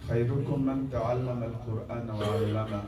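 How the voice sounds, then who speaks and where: A man's voice: medium pitch, fairly even intonation, racing, crowd noise. A man, an imam, preaching a Friday sermon with rhetorical questions, mixing in recited Arabic verses. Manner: exhorting, rhetorical questions, rising emphasis. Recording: echoey hall from far off.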